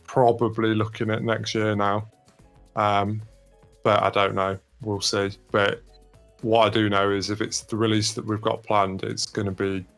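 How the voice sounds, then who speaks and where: Lowish-voiced man in casual discussion. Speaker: record label guest. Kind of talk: casual panel chat.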